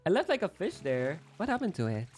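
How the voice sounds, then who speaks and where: A man's voice: sits high, huge pitch swings, brisk, darkish tone, soft background music. A young man streaming live, chatting casually while gaming in a playful, rambling, self-deprecating way.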